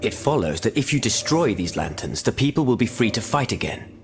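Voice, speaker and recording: A man's voice: medium pitch, big pitch swings, fairly quick, natural voice. A man voicing a stern, sardonic eighteenth-century revolutionary in a video game, formal and oratorical. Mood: resolute, sardonic. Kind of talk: scripted video game cutscene dialogue.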